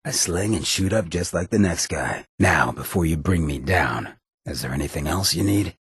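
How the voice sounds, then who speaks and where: An actor playing a roguish, irreverent ghoul in a video game, with a raspy American voice and a wry, cocky, streetwise drawl. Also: male voice, low voice, expressive, normal speed, a bit bright, dry recording, studio quality, heavily acted. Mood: defiant, unrepentant. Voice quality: raspy, gravelly. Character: roguish drug-loving irradiated ghoul, swaggering, irreverent, loyal. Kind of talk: video game companion dialogue.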